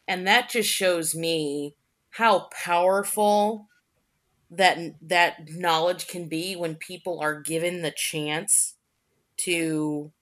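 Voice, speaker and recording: Lower-voiced, unhurried woman co-host. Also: fairly bright tone. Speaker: podcast co-host. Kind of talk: casual reflective discussion.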